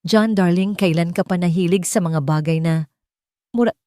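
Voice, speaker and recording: Woman narrator as spoiled rich Filipino fiancée for romance audio drama: low-pitched, condescending, sweet on top, venom underneath. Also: moderate pace, dark tone, dry recording, studio quality, close to an everyday voice. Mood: disdainful. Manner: condescending, mixing English into Tagalog.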